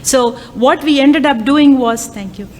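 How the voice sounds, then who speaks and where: A woman's voice: sits high, measured pace, faint music behind. A woman whose first language is Tamil, an AI lecturer and workshop chair, speaking live at a conference with many ums, uhs and restarts. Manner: hesitant, many fillers and restarts. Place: conference hall.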